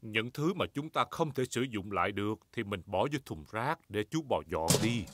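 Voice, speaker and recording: A man's voice: fairly low pitch, animated, normal speed, noisy background, close to an everyday voice. A man voicing a good-natured, patient father pig in a cartoon dub, with a jovial fatherly manner. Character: good-natured daddy pig, middle-sized family father. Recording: loud cartoon music behind the voice.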